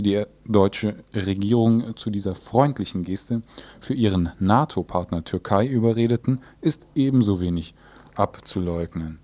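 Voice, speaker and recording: A man presenting radio news, reading reports and quotations from a script in a somewhat halting, self-correcting way. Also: lowish voice, a little mellow.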